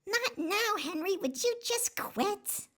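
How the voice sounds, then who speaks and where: Storybook kid, indignant and young, very high and piercingly bright, unhurried. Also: female voice, animated, full character voice. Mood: snappy. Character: young child, upset and indignant. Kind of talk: children's storybook read-aloud.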